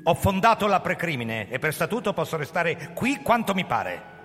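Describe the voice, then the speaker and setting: An actor playing a proud, defensive older agency founder who narrates his story in a measured, theatrical radio-drama delivery. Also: a little high, sing-song, a bit bright. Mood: guarded, defensive. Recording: long hall reverb, music underneath. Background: music.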